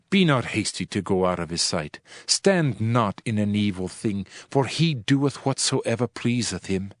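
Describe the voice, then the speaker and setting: A man playing a solemn, world-weary preacher in a dramatized scripture reading, with measured, archaic oratory. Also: fairly low pitch, dry recording, studio quality. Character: male preacher, solemn world-weary sage pondering wisdom and vanity. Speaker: narrator of a dramatized audio Bible. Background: music.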